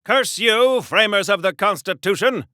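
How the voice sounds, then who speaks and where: An actor playing a naive, blustering 1940s patriotic American comic-book hero, with pompous, theatrical diction in an old radio serial style. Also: male voice, sits high, animated, a little slow, bright, forceful tone, no room sound, clean studio sound, heavily acted. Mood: earnest, chatty. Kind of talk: golden-age radio serial style game acting.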